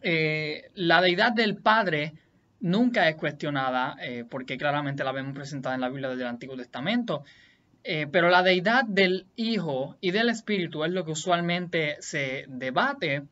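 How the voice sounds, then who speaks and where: A Puerto Rican man explaining Bible doctrine as the host of a teaching video, in a deliberate, point-by-point, repetitive way. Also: on the high side, fairly bright tone, muffled recording. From Puerto Rico.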